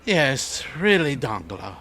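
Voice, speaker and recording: An actor in an audio drama playing a genial, generous older shipowner, avuncular and measured, with small hesitations. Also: male voice, medium pitch, very animated, a bit bright, a little hiss or hum, heavily acted. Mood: measured, firm.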